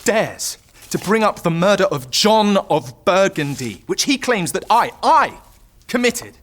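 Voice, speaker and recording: A man's voice: high-pitched, sing-song, measured pace, fairly bright tone, exaggerated voice. A man playing a proud, easily affronted French king in an audio drama, haughty and theatrical. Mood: indignant. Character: proud, indignant, wavering young French king.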